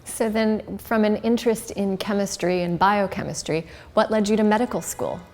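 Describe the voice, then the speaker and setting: A woman hosting a medical interview series, reading a formal introduction and asking measured questions. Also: mid-range voice, a little mellow.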